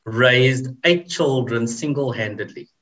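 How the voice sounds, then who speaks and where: A man in his forties, a podcast guest telling his family story in a measured, reflective way. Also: mid-range voice, a bit bright, highs cut off. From South Africa. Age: 40s. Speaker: interview guest. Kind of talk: casual interview chat.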